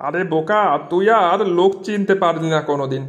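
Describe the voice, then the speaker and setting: A man's voice: on the high side, a little fast, lightly acted. A man voicing a pushy, scornful village schemer who persuades and sows distrust in a moral-story cartoon. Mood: sly persuasion. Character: scheming older village acquaintance sowing distrust between friends.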